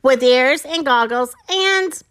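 A woman's voice: high voice, animated, slow pace, fairly bright tone, full character voice. A woman voicing an eager, bossy male storybook cat who gives commands in rhyme. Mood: decisive. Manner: exclamatory, commanding, rhyming delivery.

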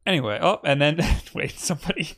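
A man's voice: fairly high pitch, animated, fairly quick, creaky, a bit bright. A young man co-hosting a casual podcast, rambling a little as he reads listener comments aloud. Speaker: podcast co-host.

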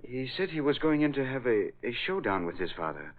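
French houseman, courteous and subservient, medium pitch, classic radio drama, tinny sound. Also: sing-song, brisk. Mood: subdued, hesitant. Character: deferential French houseman, reporting carefully. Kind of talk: old-time radio drama acting. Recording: tinny band-limited vintage radio recording.

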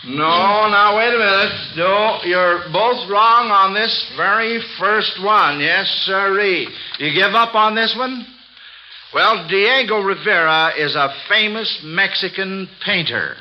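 A man's voice: high voice, slow, a little hiss or hum. A man hosting an old-time radio quiz for children, with a folksy, avuncular, exclaiming way of talking. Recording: dull vintage radio broadcast.

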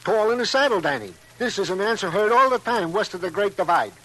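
A man's voice: high voice, animated, quick pace, bright, forceful tone, rough recording. An actor playing a verbose, cheerful male police sergeant in mid-century radio drama, with stilted, mock-formal phrasing. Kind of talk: 1950s radio crime drama acting.

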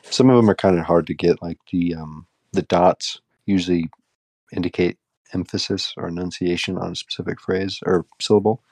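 A man's voice: deep voice, steady tone, moderate pace, darkish tone, no echo. A young man co-hosting a podcast, chatting casually with dry, offhand, blunt opinions. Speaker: podcast co-host. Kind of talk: casual chat.

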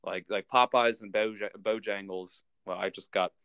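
Low-voiced man chatting casually about sports. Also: steady tone, fairly bright tone, smooth, dry recording. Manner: halting, thinks aloud. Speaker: sports podcast host.

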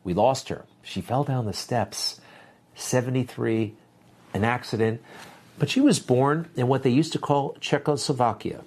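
A man's voice: mid-range voice, unhurried, darkish tone. A man hosting a news show, giving opinionated commentary with sarcastic, dismissive asides.

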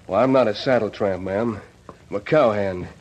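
An actor playing a middle-aged, plain-spoken cowhand and ex-convict in an old Western radio drama, halting and humble. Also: male voice, fairly low pitch, a little mellow, close to an everyday voice. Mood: sheepish. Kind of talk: 1940s-1950s Western radio drama acting. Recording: dull lo-fi old radio recording.

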